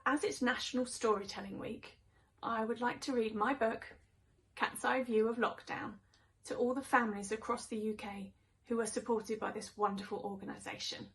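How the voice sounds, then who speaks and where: Woman, children's author-reader, high voice, expressive and measured rhyming storytelling. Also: rough recording. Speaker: children's author reading own book.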